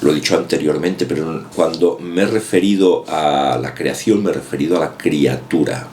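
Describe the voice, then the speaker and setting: A man's voice: a bass voice, moderate pace, darkish tone, a little hiss or hum. A man giving a casual, rambling book commentary, hedging often and repeating himself. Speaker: book vlogger.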